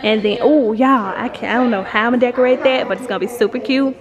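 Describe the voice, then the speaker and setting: An American woman in her twenties chatting casually and playfully to the camera as a vlogger, in colloquial, animated speech. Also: on the high side, fast, highs cut off, a crowd in the background. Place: house, car and restaurant booth. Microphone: handheld camera at arm's length. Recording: noisy handheld camera audio.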